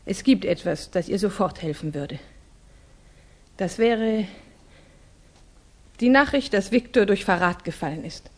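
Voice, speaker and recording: Young russian noblewoman in a radio play, measured and formal, anxious, mid pitch. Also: expressive. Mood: anguished, earnest. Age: young adult. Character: anxious, earnest young Russian noblewoman, grieving her brother. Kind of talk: radio drama acting.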